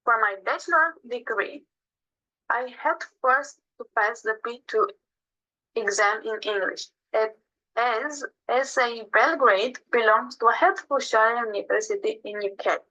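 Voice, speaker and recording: Bright-voiced young Romanian woman. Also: on the high side, rough recording. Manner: earnest, slightly halting non-native English. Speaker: webinar speaker. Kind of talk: personal testimony read aloud.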